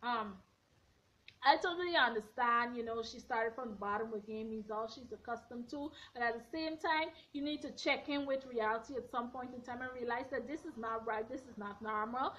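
A young woman in her twenties, slightly hoarse, giving a blunt, sassy recap of a reality show from her bedroom, filmed on a front camera at arm's length. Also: fairly high pitch, normal speed. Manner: sassy, blunt, animated hand gestures. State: a bit hoarse. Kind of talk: casual opinionated recap. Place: bedroom at home, indoors. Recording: dull lo-fi webcam audio.

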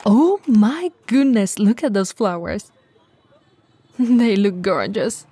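Breathy, unhurried tall friendly woman, acted out. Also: mid-range voice, very animated, warm tone, no room sound, heavily acted. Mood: relaxed, chatty. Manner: casual, playful, hesitant and chatty. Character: tall, friendly, teasing woman bento shop owner. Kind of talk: intimate ASMR roleplay acting.

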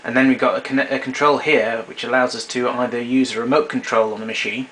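A man talks through equipment in an informal, matter-of-fact demonstration. His voice sits at an average male pitch. It is an amateur recording from a small room, with clear noise behind him. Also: level tone, fast talker, a bit bright. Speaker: tape recorder collector giving a demonstration. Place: small domestic room indoors. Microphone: camera mic a short distance away. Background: clear background noise.

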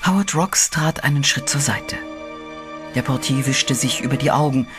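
A man's voice: medium pitch, normal speed. A man reading scripted, dramatic narration as the narrator of a horror audio play. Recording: music behind the voice.

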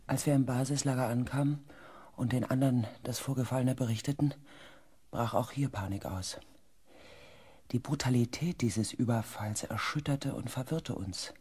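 An actor playing an anxious, reflective researcher who narrates a sci-fi radio drama in a tense, dramatic manner. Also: male voice, medium pitch, normal speed, dark tone, husky, natural voice. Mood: grave. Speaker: narrator and character. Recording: faint background ambience.

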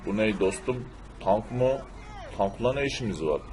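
Man playing a deep, dark-voiced gruff fellow. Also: lively intonation, normal speed, slight background noise, lightly acted. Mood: puzzled. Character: Recep, gruff man fussing over his beloved cars. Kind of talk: amateur cartoon-style game video dub.

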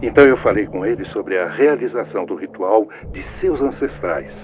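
Curious, scheming Brazilian occultist in a game, low lively voice on muffled, hissy cassette. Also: male voice, normal speed. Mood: scheming, intrigued. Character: scheming, curious male occult researcher recording notes. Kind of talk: dubbed game monologue on tape. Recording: dull, hissy cassette tape sound.

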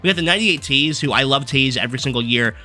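A young man giving a casual, rambling rundown of his game team from his desk, his voice going hoarse as he is losing it. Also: medium pitch, sharp tone, soft background music. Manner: fast, rambling card-by-card rundown with fillers. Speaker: gaming YouTuber. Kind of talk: casual gameplay commentary. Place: small room at a desk.

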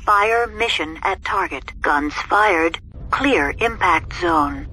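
An impassive war machine in a video game. It makes slow, clipped announcements in a sharp, bright voice, with faint noise behind. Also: medium pitch, expressive, low-quality recording. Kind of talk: scripted game system announcements.

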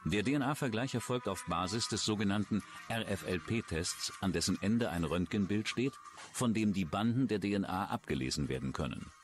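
A man's voice: lowish voice, animated, normal speed. A man with a standard German accent reading scripted true-crime documentary narration.

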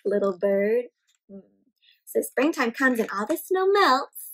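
Young woman, very high girlish pitch, unhurried pace, children's read-aloud, expressive questioning delivery. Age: young adult. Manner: animated, questioning, addressing young viewers as friends; exaggerated expressive delivery with gasps and stretched words. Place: indoor room with houseplants.

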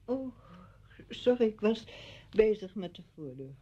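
A woman's voice: mid-range voice, lively intonation, measured pace, mellow, dull audio. An actress playing a reserved woman who lives alone, terse and guarded, in a radio drama.

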